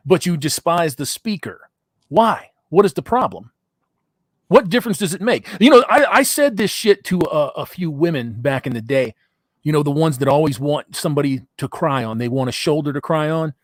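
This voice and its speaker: A blunt, profane middle-aged man hosting a call-in podcast, his voice a touch higher than most. He laughs easily as he talks. The sound is dry and close, with no room around it. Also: no room sound. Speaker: podcast host. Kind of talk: casual call-in chat.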